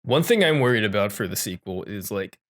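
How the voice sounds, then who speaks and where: A man's voice: lowish voice, expressive, a little fast, fairly bright tone. Casual male podcast host. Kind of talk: casual film discussion chat.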